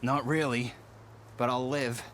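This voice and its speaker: Young soldier climber played by a man, medium pitch, earnest, shaken, faint ambience behind, game cutscene. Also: fairly even intonation, measured pace, fairly bright tone, slight background noise, natural voice. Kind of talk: video game character acting.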